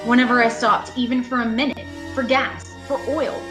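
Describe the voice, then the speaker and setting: Radio thriller lead: frightened woman driver , acted by a woman, fairly high voice, pleading and dramatic, music underneath. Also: fairly even intonation, moderate pace, lightly acted. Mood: haunted dread. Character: frightened, desperate young lady driver haunted by a phantom. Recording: music behind the voice.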